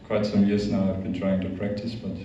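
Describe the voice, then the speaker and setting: Man, Austrian accent, hesitant with fillers, dark mellow tone, dull distant hall sound. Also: on the low side, monotone, brisk, a little hiss or hum. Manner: hesitant, many fillers and restarts. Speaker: guest speaker, monk. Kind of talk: informal talk to a youth group. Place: large echoey hall.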